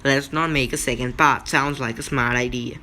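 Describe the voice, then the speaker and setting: A gaming video host chatting excitably in a casual commentary style. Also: mid-range voice, normal speed, noisy background. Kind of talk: casual gameplay commentary and story recap.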